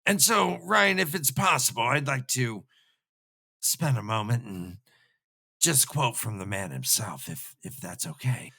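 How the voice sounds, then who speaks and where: A man's voice: medium pitch, lively intonation, a little slow, gravelly, pushed and bright, husky. A man co-hosting a comic book discussion podcast, speaking emphatically in a mock-scholarly way and repeating himself for effect. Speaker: podcast co-host.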